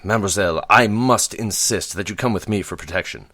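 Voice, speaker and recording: A man playing a gallant, insistent wealthy gentleman in an amateur audio drama. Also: fairly low pitch, a little fast. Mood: urgent, insistent.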